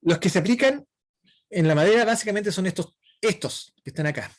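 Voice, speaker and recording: A man lectures a woodworking class. His voice sits a little high and sounds dry and close to the mic. He explains each step patiently and keeps checking that students follow. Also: animated, brisk, dry recording. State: dry throat. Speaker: woodworking instructor. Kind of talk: online lecture.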